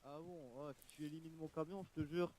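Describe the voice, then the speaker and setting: A man's voice: a little high, fairly even intonation, a little fast, darkish tone, close to an everyday voice. A man playing a menacing, fed-up thug in a game roleplay, slangy and threatening. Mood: threatening, irritated. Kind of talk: improvised online game roleplay.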